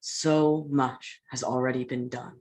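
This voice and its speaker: A man's voice: mid-range voice, flat delivery, measured pace, darkish tone, lightly acted. A man playing Victor Frankenstein, an ambitious young man of science, in an earnest, lofty literary monologue. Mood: fervent, ambitious. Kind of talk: literary monologue in a staged reading.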